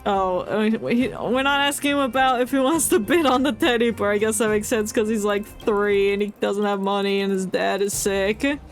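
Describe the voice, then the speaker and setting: A woman's voice: mid-range voice, steady tone, normal speed, few pauses, faint music behind. A woman streaming a video game with casual, reactive commentary and playful, mock-earnest asides.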